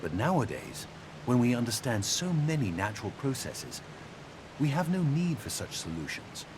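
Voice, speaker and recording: A man acting as an earnest, measured debater in a game audio log, speaking deliberately and articulately. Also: close to an everyday voice. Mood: solemn, critical. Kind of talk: naturalistic game audio log dialogue and reading. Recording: steady rumbling background noise.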